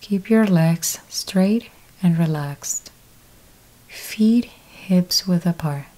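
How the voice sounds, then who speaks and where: A woman leading a guided yoga nidra meditation, giving calm step-by-step instructions with long pauses. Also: fairly low pitch, poor audio.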